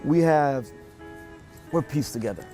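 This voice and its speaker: A man coaching a high school running team outdoors, encouraging his runners. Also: expressive, a little slow, mellow, faint music behind.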